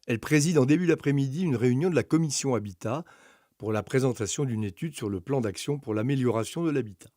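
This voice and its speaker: Male narrator, soft mellow tone, medium pitch, measured factual read for a local documentary. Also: no room sound, studio quality.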